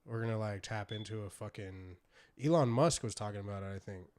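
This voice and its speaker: A man's voice: lowish voice, brisk, darkish tone. A man, probably in his thirties, chatting casually on a podcast with slangy, profane wording. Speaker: podcast co-host.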